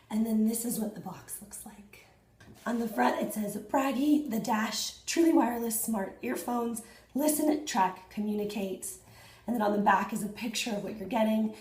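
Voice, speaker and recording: A woman in her thirties, hoarse and husky after losing her voice, chatting excitedly to viewers in a casual unboxing vlog at home. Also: on the high side, roomy sound, slight background noise, low-quality recording. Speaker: fitness vlogger. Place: small indoor room at home.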